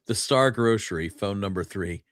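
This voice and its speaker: A man's voice: fairly low pitch, moderate pace, no room sound, studio quality. A man in casual chat, informal and joking, putting in short remarks as a co-host.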